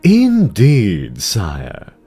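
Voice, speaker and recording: An imperious demon dreadlord, acted out by a male narrator, scheming and mockingly deferential. The low voice swoops expressively through measured phrases, as in a fantasy audio drama, with music underneath. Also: huge pitch swings, warm tone, husky, heavily acted. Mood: mocking, sly.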